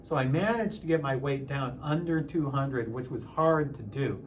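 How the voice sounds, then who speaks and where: A man of sixty talking casually in a personal vlog, halting at times and repeating phrases for emphasis. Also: mid-range voice, a little hiss or hum. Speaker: music teacher and channel host.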